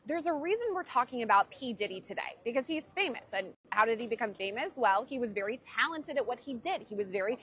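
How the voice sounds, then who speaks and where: Woman, court reporter, higher voice, measured, news commentary. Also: animated, a bit bright, slight background noise.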